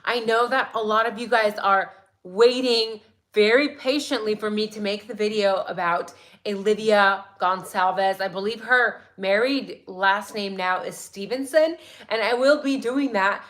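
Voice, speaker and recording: A woman hosting a casual true crime livestream, chatty and excitable, her voice a little raspy today. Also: mid-range voice, unhurried. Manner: chatty, excitable, many "like"s and "right?"s. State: slightly raspy voice.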